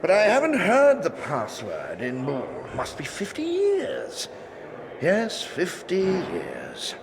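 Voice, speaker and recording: Doddering, wildly swooping old portrait guardian. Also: male voice, high-pitched, wildly swooping pitch, takes it slowly, heavily acted. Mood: befuddled. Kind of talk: video game cutscene dialogue. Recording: clear crowd murmur behind.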